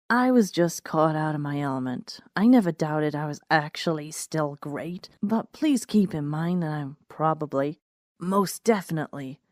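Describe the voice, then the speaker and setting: Low-voiced woman narrating stories, dry close sound. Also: a little mellow, no echo. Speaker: host and narrator of a fan fiction reading show. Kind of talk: scripted narration, reading stories aloud.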